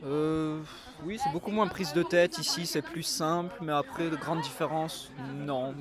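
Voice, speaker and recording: Laid-back young guy, a touch high-pitched, informal chat. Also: measured pace, crowd noise. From Toulouse suburbs, France. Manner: relaxed, informal slang. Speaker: interviewee and show host. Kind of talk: casual chat.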